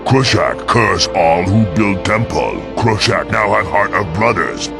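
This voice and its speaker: A man's voice: fairly low pitch, lively intonation, unhurried, gravelly. An actor voicing an exiled orc shaman in a video game, solemn and earnest, speaking broken pidgin in short clipped phrases. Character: exiled male orc shaman, grateful, solemn, primitive warrior. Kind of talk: video game character dialogue. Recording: loud music under the voice. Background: game music.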